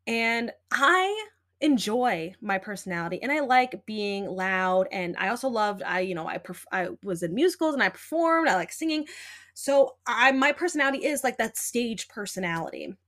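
A young woman hosts her own podcast, talking straight to the listener in a loud and lively way. Her voice sits a little high, and she leans on fillers as she makes her points with emphasis. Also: moderate pace. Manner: animated, emphatic, chatty with many fillers. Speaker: podcast host. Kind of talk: casual solo podcast talk.

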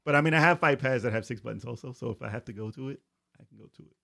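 Man, podcast co-host, quick talker, casual banter, laughs a lot. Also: medium pitch, gravelly, pushed and bright. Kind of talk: casual chat.

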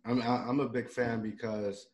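A man's voice: mid-range voice, level tone, normal speed, a little mellow. A man from Puerto Rico hosting a podcast, talking in a loose, rambling way with many restarts and fillers. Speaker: podcast host. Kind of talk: casual interview chat.

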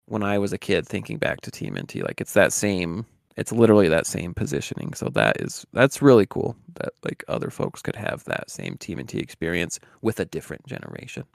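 A man with a lowish, gravelly voice that swings up and down as he talks. He chats as a podcast co-host with plenty of filler words, recorded dry and close. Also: brisk, few pauses, no room sound. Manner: animated, lots of "like" fillers. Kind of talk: casual chat.

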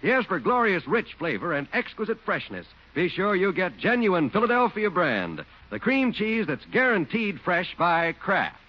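A man's voice: sits high, very animated, moderate pace, hardly any pauses, slight background noise. An American man reading as an old-time radio announcer, with a polished, emphatic sales delivery. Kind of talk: 1940s-50s radio commercial narration. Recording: dull old radio recording with music.

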